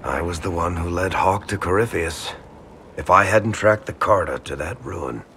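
An actor playing a roguish, worldly dwarf in a fantasy video game, talking in a wry, casual, plain-spoken way. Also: male voice, deep voice, moderate pace, fairly bright tone, slight background noise. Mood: troubled, regretful. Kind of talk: video game dialogue acting.

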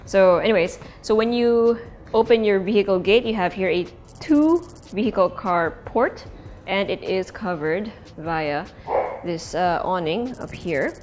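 A woman's voice: medium pitch, a little slow, a little mellow, faint music behind. A young woman with a Filipino accent hosting a casual walkthrough tour of an empty house, chatty and switching languages mid-sentence. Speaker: real estate tour host. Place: indoors and outdoors in an empty house.

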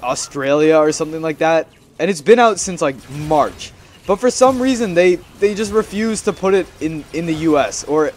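A young man giving casual, rambling gameplay commentary, chatty and playfully repeating his phrases. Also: on the high side. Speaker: gaming video host.

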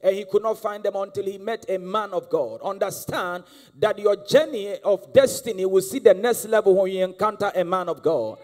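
A man's voice: high voice, moderate pace, a little mellow. A man in his thirties with a Ghanaian accent, a guest prophet preaching a charismatic sermon on stage with a handheld mic, exhorting the crowd and repeating lines for them to answer. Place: large church hall on stage. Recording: live church hall with long reverb and music.

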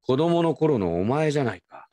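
A man's voice: medium pitch, very animated, normal speed, voice pushed up, close to an everyday voice. A man playing a teasing older uncle talking to his grown nephew in a radio drama. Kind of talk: radio drama acting in Japanese.

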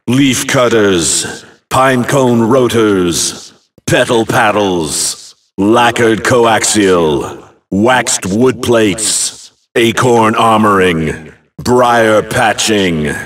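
An actor playing a dramatic video game arena announcer, calling out short names with punchy emphasis. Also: male voice, lowish voice, a bit bright, distant mic, full character voice.

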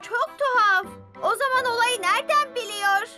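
A woman's voice: extremely high pitch, normal speed. A woman voicing an eager, curious, childlike little boy bus in a dubbed children's cartoon. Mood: puzzled. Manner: exclamatory, wide-eyed, childlike. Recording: loud children's music behind.

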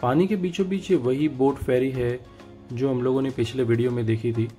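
A man's voice: mid-range voice, moderate pace. Hindi-speaking man narrating a travel vlog. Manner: calm tour-guide explaining, mixing English phrases. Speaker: travel vlogger. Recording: voice over loud music.

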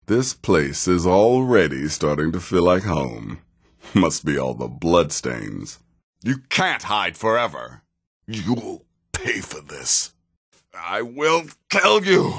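An actor playing a seasoned, sardonic assassin in a video game, speaking in a measured, dry way with wry menace. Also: male voice, deep voice, very animated, fairly bright tone, husky, full character voice. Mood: threatening. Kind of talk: video game character dialogue.

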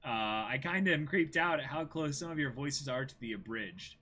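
A man's voice: medium pitch, expressive, moderate pace, bright, forceful tone. A man in his twenties chatting casually and animatedly as a co-host on an anime discussion stream, often laughing. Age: 20s. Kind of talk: casual chat.

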